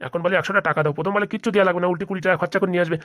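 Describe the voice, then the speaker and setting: A woman's voice: on the low side, fairly even intonation, racing, fairly bright tone, no echo, natural voice. A woman voicing a grumpy, suspicious old village grandfather who grumbles and complains. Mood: grumbling. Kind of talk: comic cartoon voice acting.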